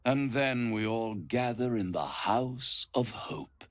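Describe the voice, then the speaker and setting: An actor playing a suave, scheming devil in a video game, silky, grandiose and menacing, with music underneath. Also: male voice, animated, slow pace. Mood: silky menace. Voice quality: low, gravelly. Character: suave, scheming, gloating male devil making bargains. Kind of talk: dramatic video game acting.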